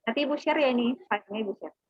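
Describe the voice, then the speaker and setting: A woman's voice: fairly high pitch, racing, husky, dry recording. A woman teaching an online class, explaining plans and often checking that students understand. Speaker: teacher giving a class briefing. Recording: narrow phone-like online call audio.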